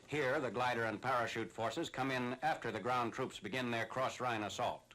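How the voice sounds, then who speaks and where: A man's voice: medium pitch, normal speed, fairly bright tone. A man narrating a 1940s military newsreel from a script in a formal, clipped, factual manner. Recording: noisy 1940s newsreel soundtrack, engine drone.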